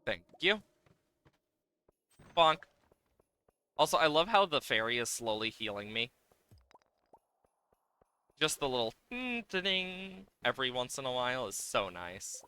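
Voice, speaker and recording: Bright, animated-talking man streaming games. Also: mid-range voice, clear, resonant tone. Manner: playfully exasperated, swearing, exclaiming and talking to the game. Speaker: livestreamer. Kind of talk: casual gaming livestream commentary.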